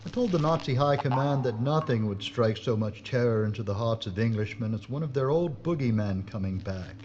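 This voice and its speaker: An actor playing a gloating, traitorous police inspector and killer in an audio drama, theatrical, smug and menacing. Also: male voice, medium pitch, lively intonation, a little fast, darkish tone, lo-fi sound, close to an everyday voice. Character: gloating traitorous chief inspector, murderous Nazi saboteur.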